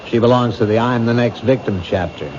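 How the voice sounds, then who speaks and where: An actor playing a skeptical police captain who makes dry, dismissive quips in a 1970s radio drama. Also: male voice, medium pitch, brisk, close to an everyday voice. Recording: dull old radio broadcast, noisy.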